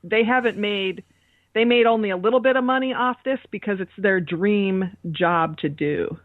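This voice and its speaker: A woman's voice: medium pitch, moderate pace, no echo, narrow, tinny audio. A woman co-hosting a podcast in casual chat, heard over a thin call line. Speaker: podcast co-host.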